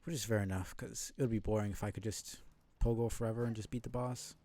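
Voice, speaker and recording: A man's voice: lowish voice, fairly even intonation, fast talker, mellow. A man streaming a video game, thinking aloud in casual, rambling, analytical live commentary.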